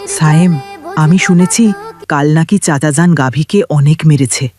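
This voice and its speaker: A woman's voice: sits low, moderate pace, over music, lightly acted. A woman voicing a frank, earnest village child, a friend in a cartoon. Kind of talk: cartoon voice acting.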